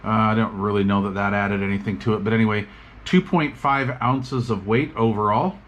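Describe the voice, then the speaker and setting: A man giving a relaxed, casual review of radio gear, chatting with plenty of fillers as he works at a tabletop. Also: on the low side, normal speed, muffled recording, slight background noise.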